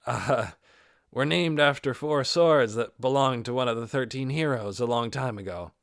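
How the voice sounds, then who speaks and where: A man's voice: mid-range voice, normal speed, vocal fry, no room sound, studio quality, lightly acted. A man voicing a cheerful, proud leader of adventurers who explains things eagerly in a fantasy audiobook. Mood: proud, grinning.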